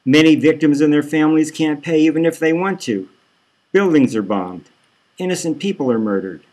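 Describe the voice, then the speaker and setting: A man's voice: a little high, moderate pace, a little mellow, pitched above the performer's own voice, lightly acted. A man voicing an earnest, probing male television interviewer in an audiobook, pressing and emphatic. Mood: accusing.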